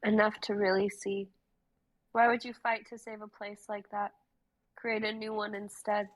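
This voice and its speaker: A young woman playing a bitter, vengeful former friend in a fantasy drama reading, cold and measured. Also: medium pitch, flat delivery, lightly acted, poor audio. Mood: cold challenge. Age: young adult.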